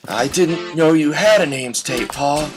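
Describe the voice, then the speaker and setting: A man's voice: a little high, a little slow, natural voice. A man voicing a needy, hungry boy in a comic audio skit, whiny and repetitive. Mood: needy, pleading. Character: needy, pleading hungry boy pestering Paul. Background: guitar music.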